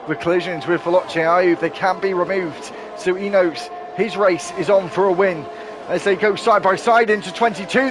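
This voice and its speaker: Guy doing live sim race commentary, kinda high voice, gets hyped and keeps correcting himself. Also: noisy background. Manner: excitable, rapid play-by-play, self-correcting.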